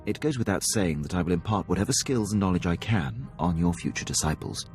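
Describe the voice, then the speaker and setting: A man's voice: low voice, lively intonation, fairly quick, darkish tone, faint music behind. An actor playing a devoted male military officer on a starship in a video game, speaking in a formal, precise, earnest way. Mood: dutiful, devoted. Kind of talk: scripted video game dialogue.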